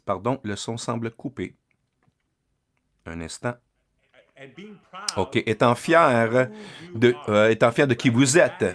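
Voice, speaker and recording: A man giving an interpreted keynote speech to parents and educators. He pauses often, with many filler sounds and restarts. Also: mid-range voice. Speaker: keynote speaker.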